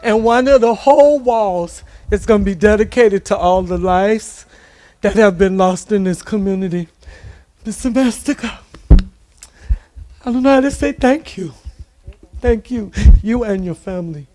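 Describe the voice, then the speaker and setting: A woman's voice: mid-range voice, measured pace, mellow, no echo. A woman giving a heartfelt thank-you speech at a community event, emotional and trying to hold it together. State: emotional, holding back tears. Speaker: representative of a women's charity. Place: community event at fairgrounds, using a microphone.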